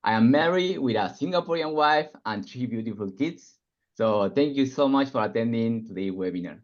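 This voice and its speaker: A man with a mixed Spanish and Japanese accent, speaking as a financial coach on a webinar in an earnest, measured way. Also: medium pitch, animated, lo-fi sound. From Argentina and Japan. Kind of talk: webinar self-introduction and commentary.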